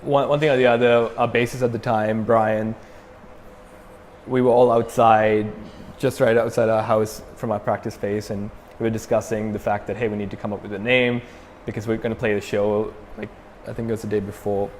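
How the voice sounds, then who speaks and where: A man's voice: lowish voice, normal speed, in a large hall, slight background noise. A young man from Sri Lanka, a metal band frontman, chatting casually as an interview guest with lots of fillers and restarts. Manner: relaxed, many fillers and restarts.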